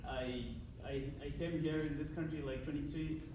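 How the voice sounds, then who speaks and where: A man's voice: a little high, steady tone, moderate pace, background noise. Guy talking to a community crowd, lots of likes and restarts, far off, echoey. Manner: hesitant, many restarts and "like" fillers. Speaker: guest speaker. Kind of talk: informal speech to a community gathering. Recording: echoey hall from far off, loud chatter.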